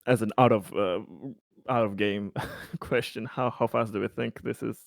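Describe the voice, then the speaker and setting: Male tabletop roleplayer, lowish voice, repeats himself conversationally, very dry recording. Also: steady tone, creaky, no room sound. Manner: halting, repeats words as he goes. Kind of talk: casual tabletop roleplaying talk.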